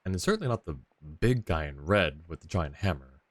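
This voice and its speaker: Deep-voiced, animated male game streamer. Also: a little fast, no room sound, faint music behind. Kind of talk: casual live game commentary.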